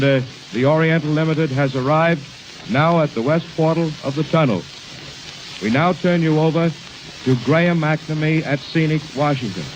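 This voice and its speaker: An American man announcing on 1920s radio in formal, measured phrases. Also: a little high. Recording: hissy, noisy 1920s radio recording.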